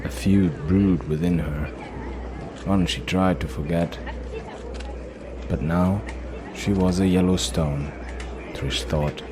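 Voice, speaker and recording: A man's voice: deep voice, steady tone, slow pace, pauses often, background noise. A man reading scripted, dramatic fantasy narration as the storyteller of an audio drama.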